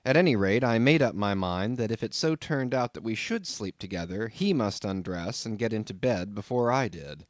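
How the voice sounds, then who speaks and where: A man reading a classic novel aloud as scripted narration, in a measured, expressive storytelling manner. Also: on the low side, dry recording, studio quality. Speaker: audiobook narrator.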